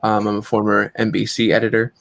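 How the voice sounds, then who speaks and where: A man's voice: fairly low pitch, monotone, fast talker, vocal fry, a bit bright, no room sound. A man in his forties, a comic writer, talking in a rambling, enthusiastic way as a guest on a panel. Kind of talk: casual panel chat.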